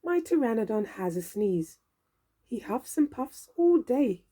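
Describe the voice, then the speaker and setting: Female storybook reader for young children: theatrical, expressive, unhurried, with a breathy, husky voice. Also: a little high. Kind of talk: expressive children's read-aloud.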